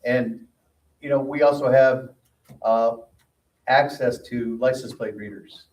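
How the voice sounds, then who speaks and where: A man's voice: medium pitch, steady tone, slow, a little mellow. A plainspoken man at a community meeting, giving residents practical advice in a reassuring tone. His voice sits in the middle of the usual range. The recording is roomy, from a distant mic with faint background noise. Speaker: association board member answering residents. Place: meeting room.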